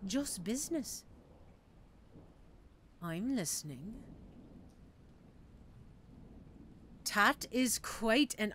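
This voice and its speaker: A woman's voice: medium pitch, pushed and bright, exaggerated voice. A woman playing a cocky, street-hardened female mercenary assassin in an audio roleplay, with a teasing, sardonic, theatrical manner. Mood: wry, teasing, then wary, guarded. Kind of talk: audio roleplay drama acting.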